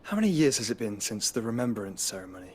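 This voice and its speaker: A man's voice: lowish voice, big pitch swings, fast talker, husky. An actor playing a resolute, courteous nobleman long thought dead, with polite formal speech in a video game. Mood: sober, courteous. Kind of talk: dramatic video game cutscene acting.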